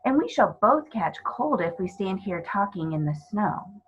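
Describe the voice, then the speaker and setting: A woman voicing a polite, melancholy male faun, gentle and hospitable, with courteous old-fashioned phrasing, in a storybook reading. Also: mid-range voice, very animated, a little slow, muffled recording, slight background noise. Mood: courteous, curious.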